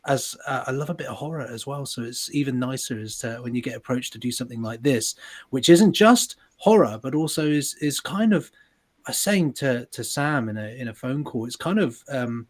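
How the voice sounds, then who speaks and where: A man's voice: mid-range voice, expressive, moderate pace. A man, a sound designer chatting casually on a livestream, self-deprecating and full of restarts. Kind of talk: casual chat.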